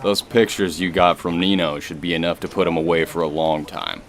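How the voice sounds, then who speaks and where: A man's voice: low-pitched, fairly even intonation, brisk. A man playing an easygoing, businesslike FBI colleague in a scripted radio drama. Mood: casual, approving.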